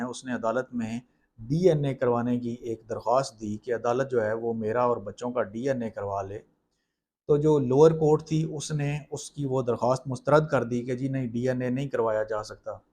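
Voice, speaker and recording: A man's voice: mid-range voice, dark tone. A man with a Pakistani accent explains law in an informal lecture style, mixing in English legal terms.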